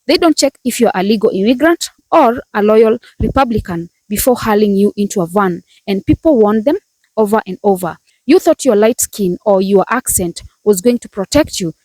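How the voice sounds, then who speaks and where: A woman reading a scripted commentary in a measured, polished way. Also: medium pitch, lively intonation, a little mellow, dry recording, rough recording. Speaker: commentary narrator. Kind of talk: scripted narration.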